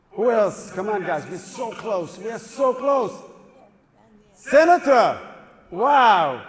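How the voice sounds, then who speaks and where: A man with a high, bright voice rallies donors in a long-echoing hall, his upbeat, playful coaxing carrying over a murmuring crowd. He sounds like an emcee working the room. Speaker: fundraising emcee. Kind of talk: live fundraising appeal to a crowd. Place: synagogue hall at an evening event.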